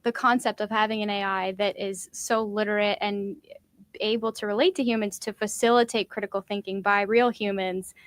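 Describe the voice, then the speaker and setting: A woman's voice: mid-range voice, steady tone, normal speed, smooth. A woman in the audience asking a casual follow-up question, phrasing it tentatively and thoughtfully.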